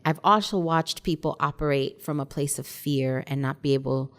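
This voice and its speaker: A woman from Chicago, a theater director, telling her story as a guest in a relaxed interview, acting out quoted voices. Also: deep voice, normal speed. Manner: animated storyteller, acts out quotes. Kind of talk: casual interview chat.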